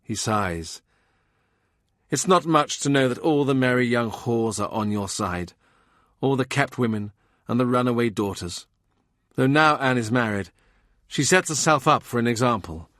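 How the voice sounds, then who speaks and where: A man reading a historical novel as an audiobook narrator, in a measured, understated literary style. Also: mid-range voice, expressive, a bit bright, no room sound, clean studio sound.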